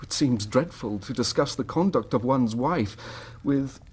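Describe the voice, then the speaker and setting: An actor playing a troubled, well-bred Victorian husband in a radio drama, with an English accent and a halting, formal, agitated manner. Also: male voice, medium pitch, expressive, darkish tone, breathy edge. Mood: desperate, troubled.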